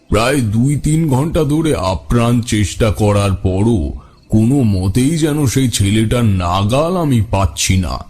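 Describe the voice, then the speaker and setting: Guy acting tantric sadhu narrating a horror story, dark slow voice, serious n dramatic. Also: on the low side, slightly rough, heavily acted. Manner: grave, measured, dramatic. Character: village holy man and tantric, calm, grave storyteller. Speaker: narrator and lead actor. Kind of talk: first-person scripted horror narration.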